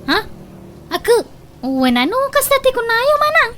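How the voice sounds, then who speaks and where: A woman's voice: very high-pitched, big pitch swings, unhurried, a bit bright, slight background noise, full character voice. An actress playing a fussy, complaining woman in an Ilocano comedy radio drama. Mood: protesting.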